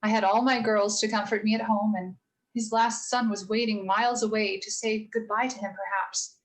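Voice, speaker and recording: Woman acting as wise gentle mother, radio play, measured storytelling, sincere, medium pitch. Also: fairly even intonation, lightly acted, rough recording. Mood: earnest, moved. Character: gentle, earnest, wise mother of four girls.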